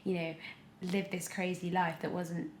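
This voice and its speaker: Young British woman, lowish voice, casual interview chat, animated storyteller, echoey room. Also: unhurried, a little hiss or hum. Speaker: podcast guest, actress. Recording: roomy, echoey sound.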